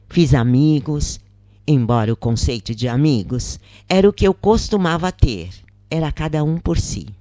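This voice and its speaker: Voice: mid-range voice, darkish tone, no echo, clean studio sound. A narrator reading a scripted first-person story aloud.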